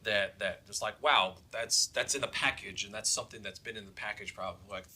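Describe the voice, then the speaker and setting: A man's voice: lowish voice, fairly quick, bright, forceful tone, breathy edge. A man co-hosting a show in casual chat, rambling emphatically and circling back over his points. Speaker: show co-host.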